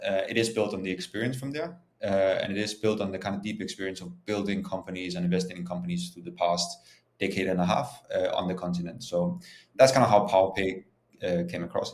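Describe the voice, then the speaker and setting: A man from Denmark with a low-pitched voice answers interview questions in an informal, explanatory way. The recording is noticeably roomy and echoey. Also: darkish tone. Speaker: startup founder and CEO, interview guest.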